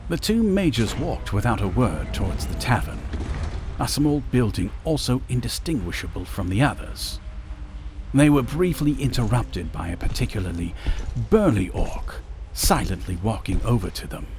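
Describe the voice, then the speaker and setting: A man's voice: mid-range voice, very animated, moderate pace, music in the background. A man narrating a fantasy audio drama in a scripted storytelling style.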